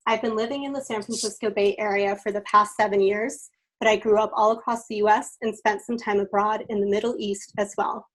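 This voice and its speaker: A woman's voice: medium pitch, fairly even intonation, brisk, poor audio. American woman hosting a video-call podcast. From United States. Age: 30s. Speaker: podcast host. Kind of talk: scripted intro then hosting a video-call discussion. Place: bedroom at home, indoors. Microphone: video call from home.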